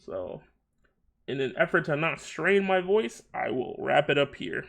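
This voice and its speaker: Gravelly-voiced streamer with a sore throat. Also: male voice, on the high side, animated, unhurried, fairly bright tone. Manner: loose, slangy, jokey sign-off chatter. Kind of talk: casual livestream chat.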